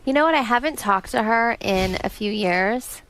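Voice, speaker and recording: A woman's voice: fairly high pitch, moderate pace, a bit bright, a little hiss or hum, low-quality recording. Young woman guest, chatty, lots of "like", kinda shy about it. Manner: chatty, peppered with "like", a bit shy. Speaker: interview guest. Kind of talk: candid celebrity interview chat.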